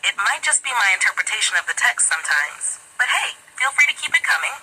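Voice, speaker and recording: Bright upbeat AI assistant woman. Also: medium pitch, very animated, quick pace, husky, poor audio. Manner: upbeat, curious, asks many questions. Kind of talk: friendly chatbot conversation.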